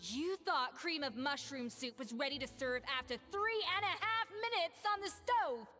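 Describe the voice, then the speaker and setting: A woman voicing a stern, perfectionist young head chef in a video game, with a brisk, scolding manner. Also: sits high, lively intonation, pushed and bright, long reverb tail, soft background music. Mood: scornful. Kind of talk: anime-style video game voice acting.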